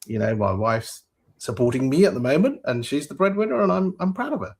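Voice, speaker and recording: A man speaking as a panelist in a hesitant way, with frequent fillers. His voice is husky and sits at a middle pitch. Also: big pitch swings, fairly quick. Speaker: panelist, founder. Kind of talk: panel discussion.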